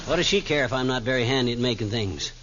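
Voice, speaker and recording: An actor playing a bumbling, boastful middle-aged husband with blustery, folksy patter in old radio comedy style. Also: male voice, medium pitch, fast talker, a bit bright, natural voice. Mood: self-pleased, bustling. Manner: blustery, folksy, mock-learned. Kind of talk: 1940s radio comedy acting. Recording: noisy, muffled vintage radio recording.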